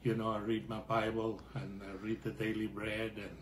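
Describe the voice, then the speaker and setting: An older man from Chile with a Chilean Spanish accent, chatting at home in a halting way, full of fillers and restarts. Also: lowish voice, monotone, a little hiss or hum. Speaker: interviewee. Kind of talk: casual conversation. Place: living room at home, indoors.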